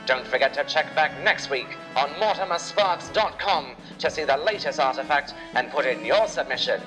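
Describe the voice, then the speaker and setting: A man's voice: on the high side, animated, normal speed, over music, poor audio. A man giving scripted, dramatic narration of a children's adventure story.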